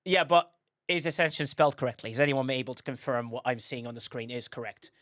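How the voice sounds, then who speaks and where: A man's voice: mid-range voice, steady tone, fast, fairly bright tone, dry recording, studio quality. A man hosting a livestream, chatting casually in a rambling way and often correcting himself as he goes. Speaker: livestream host.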